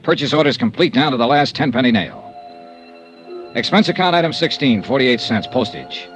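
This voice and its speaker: An actor playing a wry, hard-boiled insurance investigator with a dry, clipped delivery, in a 1950s radio drama. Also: male voice, mid-range voice, big pitch swings, normal speed, fairly bright tone, faint music behind. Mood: brisk narrating. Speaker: detective narrator and lead. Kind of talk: 1950s radio noir narration and acting.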